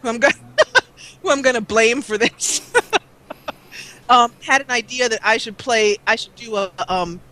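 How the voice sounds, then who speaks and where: A woman's voice: on the high side, a little slow, pauses often, bright, forceful tone, poor audio. A young woman, a guest on an interview show, chatting casually with lots of fillers and restarts. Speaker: guest, let's player.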